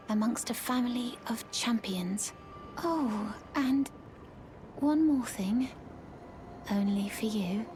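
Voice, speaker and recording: A woman playing a courteous, mysterious young servant of a noble house in a video game, with a hushed, breathy, formal delivery. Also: medium pitch, close to an everyday voice. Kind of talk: video game character acting.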